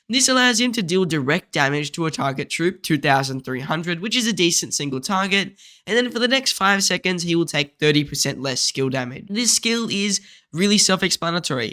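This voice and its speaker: Congested man explaining a game guide. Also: fairly high pitch. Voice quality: nasal. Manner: steady, methodical explaining. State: congested, blocked nose.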